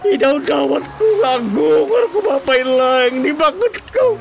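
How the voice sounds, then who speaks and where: A man playing a pleading, grief-stricken old widower with an Ilocano accent in a radio drama comedy, halting and imploring. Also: very high-pitched, expressive, vocal fry, slight background noise, exaggerated voice. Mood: tearful pleading. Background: music.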